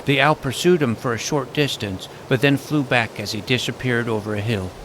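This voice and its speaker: A man's voice: mid-range voice, moderate pace, a bit bright, slight background noise, rough recording. A man narrating a comic fantasy adventure in the first person as its self-important young hero.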